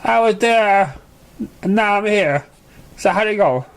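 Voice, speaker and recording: A man's voice: high voice, animated, unhurried, clear, resonant tone, voice pushed up. A man voicing a bubbly, scatterbrained young female cartoon pony in a breezy, rambling, chirpy manner. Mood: cheerful, rambling.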